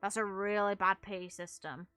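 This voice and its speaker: Casting: female gaming commentator, sharp bright tone, blunt opinionated chat with swearing, dry close recording with faint music behind. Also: medium pitch, fairly even intonation, normal speed, clear, resonant tone, no echo. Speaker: game streamer and video creator.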